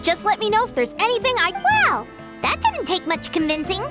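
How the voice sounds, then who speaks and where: A very high, squeaky little fairy girl for a video game. She is chatty and quick to get indignant, with hugely swooping, exclamatory delivery. Loud music plays behind her. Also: wildly swooping pitch, heavily acted. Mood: eager. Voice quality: squeaky, childlike. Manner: exclamatory, refers to herself by name.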